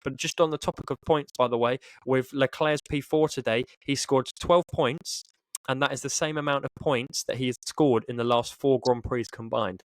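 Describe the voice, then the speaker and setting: A man's voice: medium pitch, fairly even intonation, normal speed, no room sound, rough recording. Casual male podcast co-host, close dry sound. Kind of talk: casual sports podcast chat.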